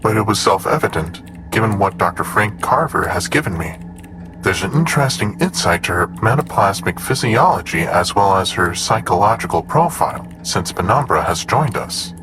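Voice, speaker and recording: An actor playing a formal, precise, unflappable android assistant in an audio drama, reporting in a measured manner. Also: male voice, lowish voice, animated, close to an everyday voice, poor audio. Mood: matter-of-fact. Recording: clear background noise with typing.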